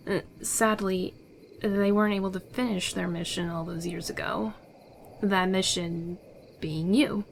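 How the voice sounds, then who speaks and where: A woman's voice: medium pitch, fairly even intonation, a little slow, a little hiss or hum, lightly acted. A woman playing a confident, secretive smuggler in a fantasy ASMR roleplay, with an assured, persuasive, theatrical delivery. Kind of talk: ASMR audio roleplay acting.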